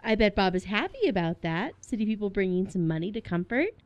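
An actress playing a bright, inquisitive junior assistant in a small-town radio play. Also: female voice, mid-range voice, big pitch swings, a little fast, no echo, highs cut off, close to an everyday voice. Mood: bright curiosity. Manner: perky, inquisitive.

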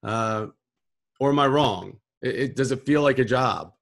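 A man's voice: medium pitch, a little slow, creaky. A man in his forties hosting an interview over a video call from home, asking questions in a friendly, curious way. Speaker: show host. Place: home room with patterned wall. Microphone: video call webcam.

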